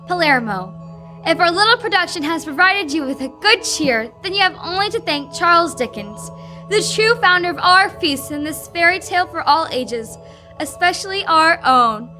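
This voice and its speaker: Very high, bright young female announcer. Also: expressive, moderate pace, soft background music. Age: teenager. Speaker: narrator and announcer. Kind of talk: scripted radio drama narration.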